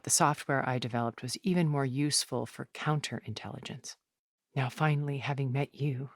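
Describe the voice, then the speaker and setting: An actress playing a refined, cool and wistful immortal woman in a video game, speaking in a poised, measured, articulate way. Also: low-pitched, no room sound, studio quality.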